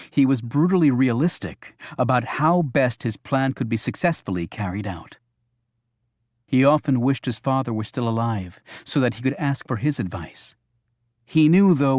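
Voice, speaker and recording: A man's voice: mid-range voice, darkish tone, no room sound, clean studio sound. A man reading a thriller audiobook as scripted narration.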